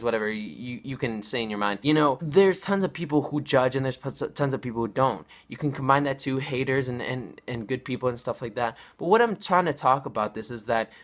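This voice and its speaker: A man's voice: mid-range voice, fairly bright tone. A nineteen-year-old man speaks to his viewers in a loose, rambling vlog. He circles back over the same points with earnest restarts. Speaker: vlogger. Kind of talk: casual direct-to-camera vlog.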